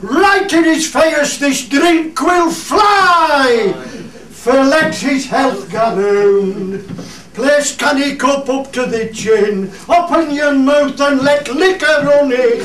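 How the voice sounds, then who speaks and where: A man's voice: very high voice, expressive, slow pace, fairly bright tone, full character voice. A man with a Cumbrian accent playing a rustic shepherd who leads toasts and old songs in a dialect radio play. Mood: loud, rousing. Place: studio theatre. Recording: echoey stage, distant mic, faint noise.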